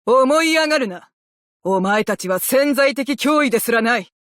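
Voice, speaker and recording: A woman playing a composed, dutiful female naval officer in a game, speaking with measured, formal military politeness. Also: high voice, lively intonation, a bit bright, no room sound, studio quality. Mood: cold, contemptuous. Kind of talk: scripted game character voice lines in Japanese.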